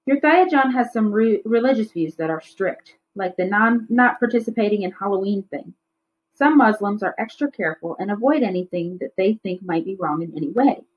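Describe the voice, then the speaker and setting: A woman's voice: medium pitch, lively intonation, normal speed, close to an everyday voice. Tired, conciliatory storybook dad, read by a woman, slightly lo-fi recording. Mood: apologetic. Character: worn-out, mild, conciliatory father in a family story.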